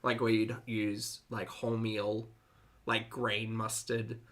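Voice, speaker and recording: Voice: medium pitch, flat delivery, slow pace, fairly bright tone. A reaction commentator talking casually and off the cuff, with an incredulous, amused and sweary manner.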